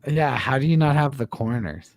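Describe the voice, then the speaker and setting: A man's voice: medium pitch, lively intonation, normal speed. A man co-hosting a casual live fight watch-along, joking and teasing his friends and laughing often. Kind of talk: casual sports chat.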